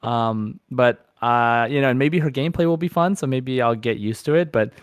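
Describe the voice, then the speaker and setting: A man's voice: medium pitch, moderate pace, clean tone, dry recording, studio quality. A man hosting a gaming podcast, chatting casually and informally with a fan's enthusiasm. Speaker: podcast host.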